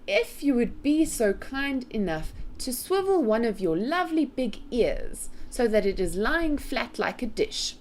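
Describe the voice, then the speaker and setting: Woman acting little girl, storybook character, polite, earnest, plucky, very expressive pitch. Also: unhurried, hardly any pauses, a little hiss or hum. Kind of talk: children's storybook read aloud.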